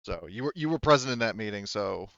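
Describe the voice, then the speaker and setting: Smooth, low-voiced man as game master. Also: animated, fast talker, fairly bright tone, no room sound, lo-fi sound. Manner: rambling, explanatory. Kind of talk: casual tabletop game explanation.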